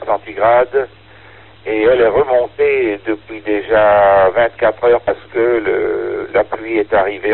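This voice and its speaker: A narrow, tinny two-way radio signal carries an older man's fairly low voice. He chats in a halting, hesitant manner, as a radio amateur trading call signs. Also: gravelly. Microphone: radio transceiver microphone.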